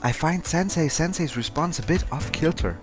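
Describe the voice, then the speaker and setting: A man's voice: a little high, lively intonation, normal speed, faint music behind, lightly acted. Man as a melodramatic teenage anime schoolboy. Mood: earnest. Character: average, angsty, lecherous teenage Japanese schoolboy hero. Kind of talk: mock-dramatic visual novel narration.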